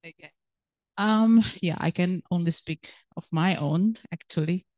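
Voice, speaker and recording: A woman's voice: on the low side, measured pace, a little mellow, no room sound. A woman from Indonesia speaking as an author on a panel, earnest and thinking aloud, with many fillers. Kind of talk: panel discussion answer.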